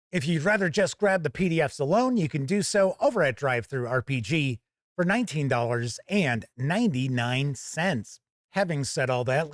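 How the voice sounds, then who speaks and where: Higher-pitched man hosting a dry-sounding review. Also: no echo. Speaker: host and reviewer of a tabletop gaming channel. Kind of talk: conversational product review to camera.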